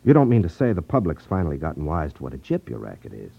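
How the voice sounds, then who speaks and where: Skeptical detective hero, vintage radio drama: husky, lively intonation, wry and unimpressed, muffled archive sound. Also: male voice, extremely low pitch, heavily acted. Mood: sardonic. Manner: dry, sardonic, unhurried. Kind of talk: 1950s radio drama acting.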